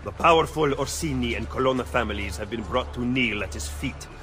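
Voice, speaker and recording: An actor playing a shrewd Italian conspirator in a video game, speaking in a measured, ominous way with an Italian accent. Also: male voice, mid-range voice, a bit bright, lightly acted. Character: shrewd, solemn Italian conspirator advising an assassin. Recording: music behind the voice.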